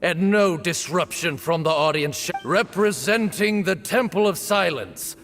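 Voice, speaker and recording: An actor playing a formal master of ceremonies in a video game, declaiming solemnly. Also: male voice, high-pitched, measured pace, fairly bright tone. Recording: long reverb, faint music.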